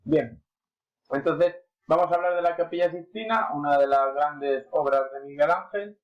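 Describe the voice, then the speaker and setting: Guy explaining art history all casual, kinda high voice, dull lo-fi sound. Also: moderate pace, soft background music. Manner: chatty and informal, with colloquial asides. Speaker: teacher. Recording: dull lo-fi recording.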